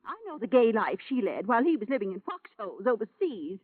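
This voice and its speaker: A woman's voice: on the high side, very animated, a little fast. An actress in a vintage radio drama playing a possessive, prying mother of a grown son, formal and reproachful. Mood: cold, bitter. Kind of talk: 1940s-50s radio drama acting. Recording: muffled vintage radio broadcast recording.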